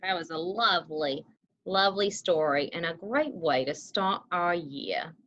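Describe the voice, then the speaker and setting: A woman's voice: fairly low pitch, unhurried, fairly bright tone, lo-fi sound. A woman reading a picture book expressively and chatting about it as she goes. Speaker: story reader, co-host.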